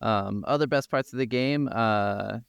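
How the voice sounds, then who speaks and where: A man's voice: lowish voice, a little slow, vocal fry, smooth, no room sound. A man co-hosting a gaming podcast, talking casually and informally with some swearing. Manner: informal, profane. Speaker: podcast co-host.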